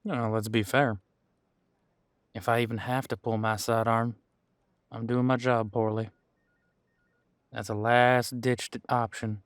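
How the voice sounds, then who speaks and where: A man playing an easygoing veteran police officer in an audio roleplay, chatting casually and candidly with thoughtful pauses. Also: mid-range voice, flat delivery, no echo, studio quality, lightly acted. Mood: friendly, briefing. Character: easygoing veteran male supernatural police officer showing newcomer around. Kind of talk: audio roleplay acting, one-sided conversation.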